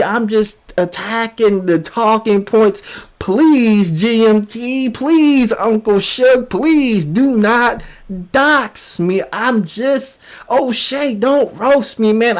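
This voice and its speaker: Man mocking a timid, pleading YouTuber. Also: very high voice. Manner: pleading, over-apologetic, hedging. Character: timid, pleading male YouTuber, nervously tiptoeing around trouble.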